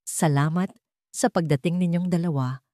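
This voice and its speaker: Woman voicing a low, soft-spoken billionaire gentleman. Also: normal speed, no room sound, clean studio sound, lightly acted. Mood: soft, grateful. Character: powerful male billionaire, softened and grateful. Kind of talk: audio story dialogue.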